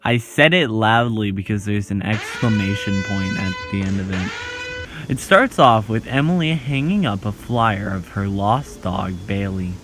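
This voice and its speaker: Low-voiced man narrating, slow pace. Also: expressive, music in the background. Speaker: video host. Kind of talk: scripted video essay narration.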